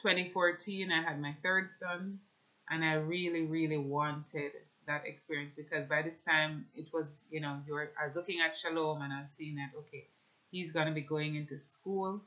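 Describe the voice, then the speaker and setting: A woman in her thirties with a Jamaican accent gives an earnest, measured religious testimony at home, talking to camera. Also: low-pitched, fairly even intonation, bright, forceful tone, highs cut off. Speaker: speaker giving a personal religious testimony. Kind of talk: personal testimony with scripture reading, talking to camera. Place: small indoor room at home with shelves.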